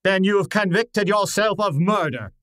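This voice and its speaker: A man acts out a shrewd, pedantic detective from an old-time radio play. His voice sits fairly high and is recorded dry and close. He speaks with formal, deliberate authority, as if questioning a suspect. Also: a bit bright, no echo. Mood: stern, accusing.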